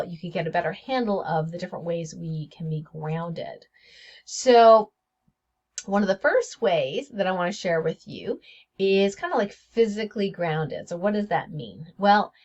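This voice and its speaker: A woman hosting her own spiritual health video series, talking casually and chatty with frequent laughs and fillers. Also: on the low side. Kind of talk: casual solo video talk. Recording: dull lo-fi audio.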